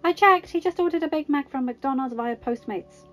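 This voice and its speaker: A woman's voice: sits high, normal speed, a bit bright, highs cut off, faint music behind, voice pushed up. A woman voicing a stern, bossy female secret agent in an exasperated, commanding manner. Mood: brisk.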